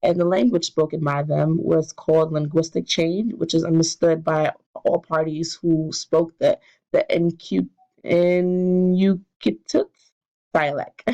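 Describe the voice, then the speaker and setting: A woman's voice: sits low, fairly even intonation, measured pace, dark tone, highs cut off. A young woman, stuffy from a cold, presenting a class essay with frequent fillers and restarts. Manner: hesitant, many fillers and restarts. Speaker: student presenter.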